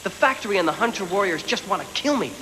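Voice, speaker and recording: A man's voice: sits high, expressive, fast. A man acting a desperate, headstrong young man on the run, earnest and urgent, in an English anime dub. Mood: defiant. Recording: noisy VHS tape, long hollow reverb.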